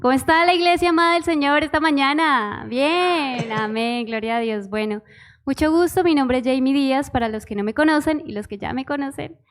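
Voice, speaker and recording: A young woman from Bogotá gives her testimony in church, speaking with a local Bogotá accent. Her voice sits a little high, and she is shy and giggly, hesitating often with fillers as she talks. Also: fairly quick. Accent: Bogotá Spanish. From Bogotá, Colombia. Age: 20s. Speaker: church musician, pastor's wife. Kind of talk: personal testimony.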